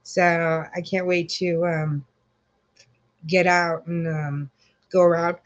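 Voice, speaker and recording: A woman's voice: fairly low pitch, level tone, takes it slowly, highs cut off. A woman hosting a casual live chat, congested, rambling with many fillers as she greets viewers. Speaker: livestream host.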